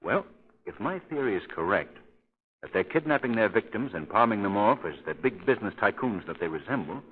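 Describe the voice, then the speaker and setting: A man with an American accent acting a calm, shrewd gentleman sleuth in 1930s radio drama style. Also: on the low side, fairly quick, slightly rough. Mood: grave, intent. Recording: muffled old 1930s radio recording.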